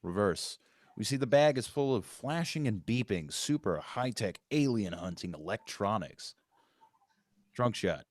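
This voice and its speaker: A man's voice: on the low side, animated, unhurried, no echo. A man narrating stage directions at a screenplay table read, in scripted narration.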